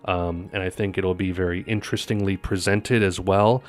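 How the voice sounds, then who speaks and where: A man's voice: deep voice, steady tone, normal speed. A man talking casually to his viewers as an online creator, giving opinions and explanations and sometimes searching for words. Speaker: YouTube creator and storyteller.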